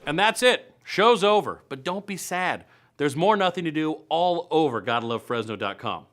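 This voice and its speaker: Bright, punchy man hosting a web show. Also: fairly high pitch, lively intonation, moderate pace. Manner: playful, punchy delivery with emphatic stretched words. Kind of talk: upbeat scripted comedic news and events presenting.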